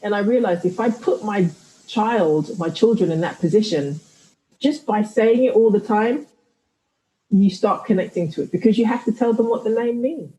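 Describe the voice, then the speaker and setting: A thoughtful woman speaks as a guest in a conversational interview, often circling back and restarting as she works through her ideas. Her voice is warm and mellow. It is recorded in a room with noticeable echo. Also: mid-range voice, moderate pace. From United Kingdom. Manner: reflective, thoughtful, many restarts. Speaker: guest, writer and podcaster.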